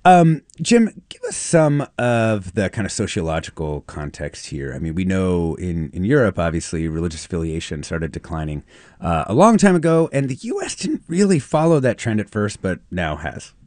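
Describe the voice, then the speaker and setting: Animated male talk show host, low voice, dry sound. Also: normal speed, dry recording. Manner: animated, emphatic intros. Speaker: radio host. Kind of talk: radio talk show hosting.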